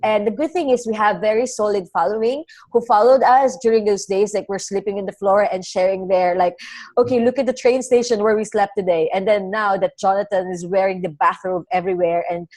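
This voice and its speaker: Young woman, chatty guest telling her story in a relaxed webinar. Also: medium pitch, a little fast. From Philippines. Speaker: travel blogger guest. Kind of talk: casual webinar interview.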